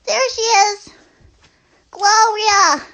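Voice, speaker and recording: A woman voicing an excitable, chatty little girl puppet in an improvised live children's show, rambling in a childlike, hesitant way. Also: very high voice, drawn-out words, background noise, exaggerated voice. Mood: delighted.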